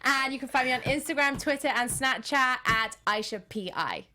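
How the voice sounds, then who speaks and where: A woman's voice: high voice, moderate pace, background noise. A Welsh woman from Wales, a model joining a casual panel chat as a guest, playful and teasing.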